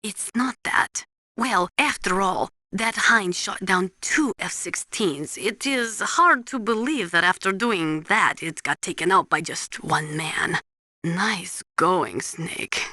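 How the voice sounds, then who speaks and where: A woman's voice: on the low side, slow pace, sharp tone, no room sound, clean studio sound, full character voice. An actress playing a blunt, knowledgeable female military weapons analyst in a video game, briefing in a precise, instructive way. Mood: amazed. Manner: precise, matter-of-fact, instructive.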